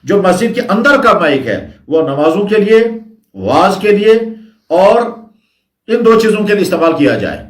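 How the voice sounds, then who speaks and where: A man's voice: high voice, sing-song, moderate pace. A man giving an instructional Islamic sermon in a didactic way, repeating phrases for emphasis. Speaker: Islamic preacher. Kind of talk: sermon, instructional religious talk. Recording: echoey, reverberant room.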